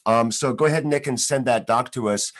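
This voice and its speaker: A man's voice: medium pitch, a little fast. A man hosting a live online music show for schoolchildren, chatty and friendly, with many fillers, over a video call. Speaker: host.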